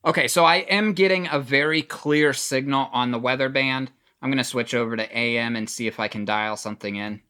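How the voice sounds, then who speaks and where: A man's voice: medium pitch, brisk, hardly any pauses, pushed and bright, clear, resonant tone. Plain-spoken man reviewing a product. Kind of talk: casual product review demonstration.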